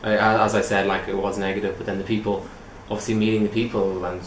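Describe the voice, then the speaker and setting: A man's voice: on the low side, little pitch movement, fast talker. A man from Ireland, an English teacher giving hesitant interview answers with many restarts. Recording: echoey room with faint noise.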